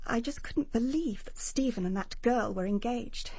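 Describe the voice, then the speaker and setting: Anxious, high, husky young woman. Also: moderate pace, warm tone, no echo. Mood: hurt. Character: wounded, anxious young woman giving a police statement. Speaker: actress in a radio detective drama. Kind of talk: radio drama dialogue.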